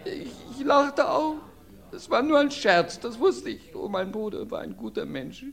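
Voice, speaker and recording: An actor playing a crippled, desperate man under cross-examination in a radio drama, halting and pleading. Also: extremely high pitch, expressive, vocal fry, heavily acted. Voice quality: halting, pleading, breaks into sobs. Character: George Fenwick, crippled, cowering, desperate brother. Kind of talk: radio play acting.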